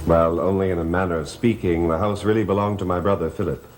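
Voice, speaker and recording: Actor as a low-voiced, troubled mansion master. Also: male voice, fairly even intonation, fast talker, darkish tone, natural voice. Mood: polite, guarded. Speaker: actor in a gothic soap opera. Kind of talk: 1970s gothic TV soap opera acting. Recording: old TV soundtrack with music underneath.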